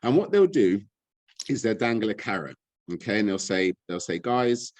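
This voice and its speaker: A man's voice: on the low side, very animated, normal speed, a little mellow, dry recording. A man, speaking as a guest, ranting in a frustrated, blunt, impassioned way. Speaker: guest speaker on money and gold. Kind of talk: impassioned casual talk.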